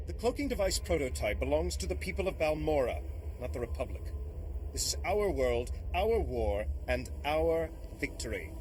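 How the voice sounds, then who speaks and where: Defiant, higher-pitched rebel fighter. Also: male voice, sing-song, moderate pace, darkish tone, a little hiss or hum. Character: defiant, righteous male resistance fighter, proud and bitter. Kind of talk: video game voice acting.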